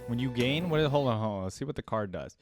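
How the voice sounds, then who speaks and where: A man's voice: medium pitch, lively intonation, fast, over music. A man hosting a reaction video, commenting casually and thinking aloud, often checking with a tagged-on question.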